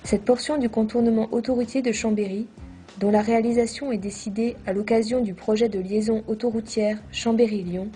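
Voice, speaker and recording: A woman reading a scripted documentary narration in a measured, formal manner. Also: fairly high pitch, steady tone, dark tone, soft background music.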